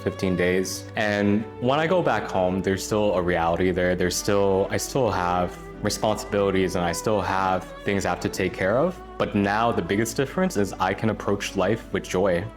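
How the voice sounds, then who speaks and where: A young man from Canada, a workshop participant giving an earnest, reflective testimonial. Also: on the low side. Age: young adult. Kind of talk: testimonial interview.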